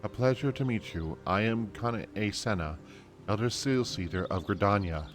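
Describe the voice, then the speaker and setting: A man's voice: fairly low pitch, lively intonation, moderate pace, soft background music, close to an everyday voice. A man voicing a serene, stately female elder leader welcoming guests in a fantasy video game. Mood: gracious, serene.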